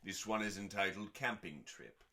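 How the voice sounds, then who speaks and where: A man hosting a dramatic scripted reading in a deliberate, theatrical manner with pauses and dry, deadpan delivery. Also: fairly low pitch. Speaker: host.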